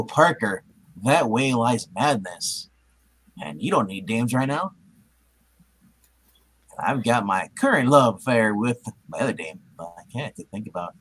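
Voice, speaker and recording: A man's voice: medium pitch, fairly bright tone, breathy edge, exaggerated voice, rough recording. A man voicing an anxious, wisecracking young superhero in a theatrical comic book reading, given to exclamations.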